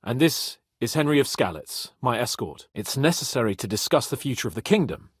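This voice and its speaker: A lively, haughty young nobleman. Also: medium pitch, fairly quick, breathy edge, dry recording, studio quality. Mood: courteous, formal. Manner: haughty, playful, theatrical. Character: cocky young medieval nobleman, haughty, jovial, teasing. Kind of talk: video game character dialogue.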